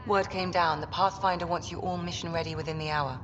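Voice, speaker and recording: Casting: female actor as composed game doctor, reassuring and measured, slightly low, muffled with noisy ambience. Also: steady tone, in a large hall, natural voice. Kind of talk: sci-fi video game acting.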